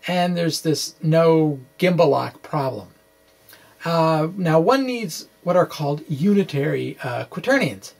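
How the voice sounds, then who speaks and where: A middle-aged man explaining science from a blog in a halting, quirky, playfully dramatic way. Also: a little high, vocal fry. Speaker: science blogger presenting puzzle answers. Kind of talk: semi-scripted explanation, reading a blog aloud. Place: small indoor room with bookshelves. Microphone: desk microphone in front of him.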